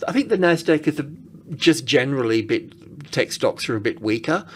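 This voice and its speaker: A man giving casual, unscripted screen-share commentary as an analyst, halting and thinking aloud as he goes. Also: mid-range voice, animated, slight background noise. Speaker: market analyst presenting.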